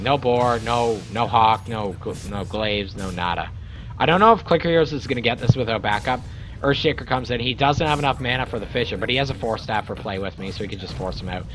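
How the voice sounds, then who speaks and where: Excitable amateur man casting a game. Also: mid-range voice. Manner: excitable, rambling, swears casually. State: voice getting raspy. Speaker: game caster. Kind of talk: amateur esports play-by-play commentary.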